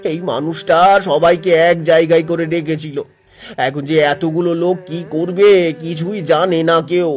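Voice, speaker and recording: A grateful, steady woodcutter from a cartoon tale, voiced by a man. He speaks from the heart in a fairly high male voice. Faint music runs behind him. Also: normal speed, natural voice. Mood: sorrowful, grateful. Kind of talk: Bengali cartoon story dialogue.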